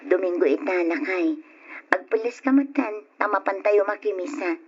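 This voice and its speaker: A woman's voice: medium pitch, moderate pace, husky, natural voice. A woman acting a reproachful long-suffering wife, muffled. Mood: pointed, reproachful. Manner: reproachful, nagging. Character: long-suffering working wife and mother, scolding, exasperated. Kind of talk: Ilocano radio drama acting. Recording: muffled lo-fi old radio recording.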